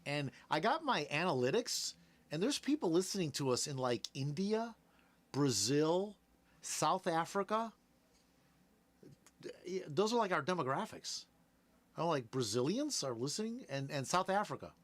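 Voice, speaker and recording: Brief: Cuban-born radio host, Spanish first language, chatty and laughing, fairly high male voice. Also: big pitch swings, no echo. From Cuba. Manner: chatty, laughs often, quick asides. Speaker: radio host, tax preparer. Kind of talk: casual radio chat.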